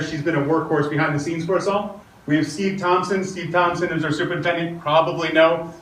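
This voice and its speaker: Loud man moderating a meeting. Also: on the high side. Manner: loud, filler-heavy, upbeat introductions. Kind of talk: public meeting emcee. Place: large room at a community meeting. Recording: roomy, distant, with faint noise.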